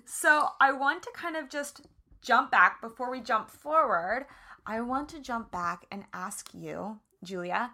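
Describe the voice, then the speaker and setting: A woman's voice: a little high, pushed and bright. A woman hosting a podcast, bubbly and chatty, with many "like"s and "you know"s. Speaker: podcast host. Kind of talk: casual interview chat.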